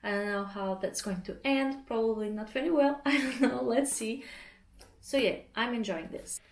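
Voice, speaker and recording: A woman from Portugal whose first language is Portuguese, chatting casually and relaxed to camera as an art vlogger at home. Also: a little high, normal speed, a little hiss or hum. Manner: chatty, relaxed, often laughs mid-sentence. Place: home interior. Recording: roomy echo.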